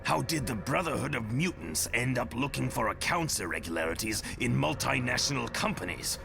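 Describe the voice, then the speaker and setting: A man's voice: medium pitch, lively intonation, fairly quick, gravelly. A man voicing a weary, snobbish ape mutant villain with a dry, haughty manner in a motion comic. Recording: music under the voice.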